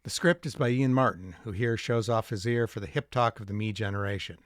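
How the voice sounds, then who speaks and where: A man with a fairly low voice presents scripted commentary as a podcast host. His delivery is measured and articulate, and the sound is close and dry. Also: dry recording.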